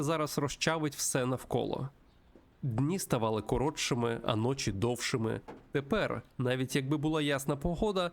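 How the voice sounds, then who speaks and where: Guy reading a visual novel for a let's play, mid pitch, kinda croaky fry in the voice, storyteller style. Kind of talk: narrated visual novel playthrough reading with asides.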